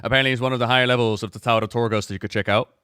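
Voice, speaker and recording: Clear-voiced man chatting through game reactions. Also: medium pitch, steady tone, racing, bright, forceful tone, no room sound, clean studio sound. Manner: chatty, informal explainer. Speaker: video game lore YouTuber reacting to cutscenes. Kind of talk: casual livestream reaction commentary.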